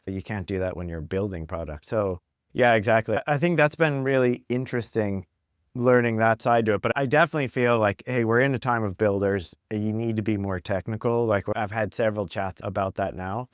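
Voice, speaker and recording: Clear-voiced man in casual conversation. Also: on the low side, a little fast, no room sound, studio quality. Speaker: podcast guest, product executive.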